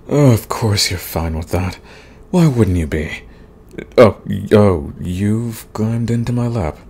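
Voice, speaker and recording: A low, husky demon played by a man for a romantic ASMR comedy. He is a big tsundere himbo who grumbles, sighs and stammers his objections, gruff on top and soft underneath. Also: expressive, very slow, long pauses, full character voice. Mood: flustered, softening. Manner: grumbling, sighing, stammering protests. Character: flustered tsundere himbo male demon, large, grumpy but soft. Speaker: voice actor.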